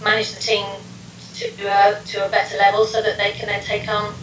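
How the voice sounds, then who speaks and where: A woman's voice: mid-range voice, monotone, brisk, fairly bright tone, recorded from a distance. Woman, middle-aged, London accent, interview guest, hesitant fillers, roomy echo, faint noise. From London, England. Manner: friendly, hesitant with ums, lists things. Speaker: interview guest, personality test practitioner. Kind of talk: casual interview. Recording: echoey room, faint noise, off-mic.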